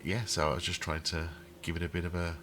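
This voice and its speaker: A man's voice: deep voice, flat delivery, quick pace, pushed and bright, slight background noise. A man with a cold and a throaty voice, rambling with many ums as he comments casually over his game building. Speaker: channel host building a theme park in a game.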